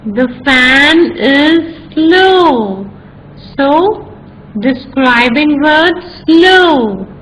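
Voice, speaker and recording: A woman teaching a children's grammar lesson, speaking slowly and deliberately, spelling out words and repeating each example. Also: high voice, expressive, fairly bright tone, a little hiss or hum, low-quality recording.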